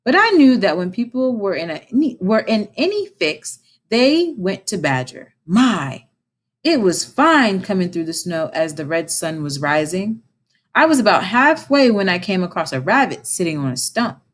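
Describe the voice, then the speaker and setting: A woman's voice: mid-range voice, very animated, moderate pace. A woman voicing a bold, confident male otter in a storybook, with a breezy, exclamatory manner.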